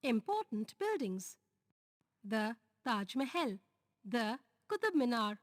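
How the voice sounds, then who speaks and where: A woman with an Indian English accent reads a grammar lesson aloud, moving deliberately through lists and examples. Her voice sits high and swings widely in pitch, and her pace stays unhurried. The recording is close and dry. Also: sing-song, no echo. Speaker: teacher.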